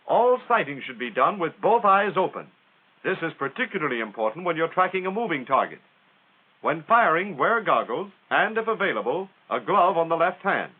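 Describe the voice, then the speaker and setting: American male narrator for a 1940s military instructional film; plain-spoken and folksy, highly animated intonation, thin vintage soundtrack sound. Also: normal speed, husky. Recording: tinny, band-limited 1940s film soundtrack.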